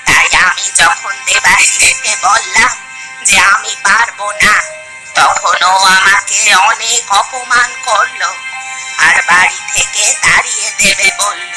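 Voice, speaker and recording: Casting: actress as elderly cartoon village woman, plaintive and aggrieved, music clearly underneath. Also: medium pitch, slow, close to an everyday voice, poor audio. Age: older. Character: aggrieved old village granny. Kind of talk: Bengali animated story dialogue.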